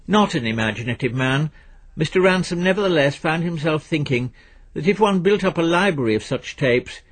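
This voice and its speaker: Slightly husky man narrating fiction. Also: fairly high pitch, expressive, moderate pace. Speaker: narrator reading fiction aloud. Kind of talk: scripted literary narration.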